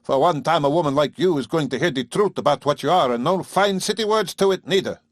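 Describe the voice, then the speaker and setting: A man voicing a gruff, bullying old farmer with a whining, rough country dialect. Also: a little high, expressive, normal speed, dry recording, studio quality, pitched above the performer's own voice. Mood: angry, sneering.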